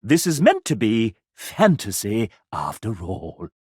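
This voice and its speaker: A man voicing a sassy, pompous, playful gentleman who hosts and narrates a video game in a showy, theatrical way. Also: lowish voice, slow, slightly rough, dry recording, clean studio sound, heavily acted. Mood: wry narration. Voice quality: animated theatrical delivery. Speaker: game show style host and narrator.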